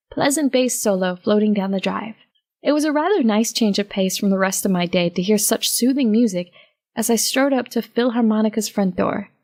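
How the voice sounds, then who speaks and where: A woman's voice: mid-range voice, a little mellow. A woman reading first-person fiction as narrator, in a wry, conversational manner.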